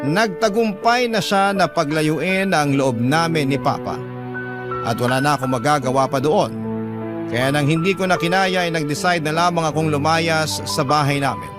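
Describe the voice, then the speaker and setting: A man with a Filipino accent reading a listener's letter as dramatic scripted narration, as a radio storyteller. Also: fairly high pitch. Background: background music.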